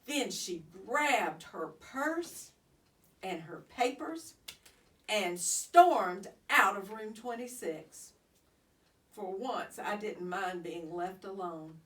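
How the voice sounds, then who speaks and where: A woman narrating a children's story expressively, with deliberate, dramatic phrasing. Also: mid-range voice, animated. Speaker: storybook reader. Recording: slightly distant microphone.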